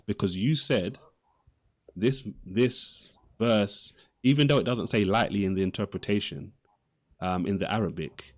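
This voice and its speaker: A man's voice: lowish voice, a little slow. A man debating religion live, persistently questioning his opponent and repeating his questions.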